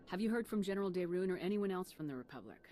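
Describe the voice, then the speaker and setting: A woman playing a steady, practical military commander in a video game, direct and businesslike. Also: fairly low pitch, rapid-fire, soft background music, lightly acted.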